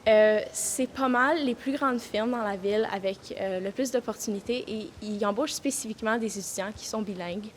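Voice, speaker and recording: A woman's voice: mid-range voice, moderate pace, hardly any pauses, a little hiss or hum. A young woman law student, giving an earnest vox pop answer full of fillers.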